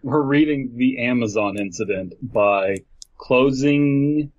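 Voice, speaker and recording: Man, podcast host, mid pitch, mock-serious riffing, casual, slightly dull recording. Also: slow pace, no room sound. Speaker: podcast host and story reader. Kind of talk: joking casual chat with some reading aloud.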